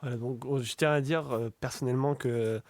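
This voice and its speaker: A man hosting a talk show, enthusiastic but halting, with many fillers. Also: mid-range voice, fairly even intonation, no echo. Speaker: host. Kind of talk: casual chat.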